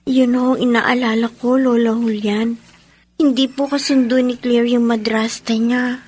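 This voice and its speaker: A woman's voice: fairly high pitch, little pitch movement, measured pace, a little mellow, husky. An actress playing a sorrowful, respectful young woman in a Filipino radio drama, speaking plaintively. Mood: worried.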